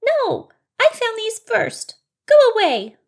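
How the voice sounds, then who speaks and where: A woman's voice: very high-pitched, wildly swooping pitch, takes it slowly, creaky, pitched above the performer's own voice, heavily acted. A woman voicing a small, rude, grumpy male field mouse in a children's story, snappy and petulant.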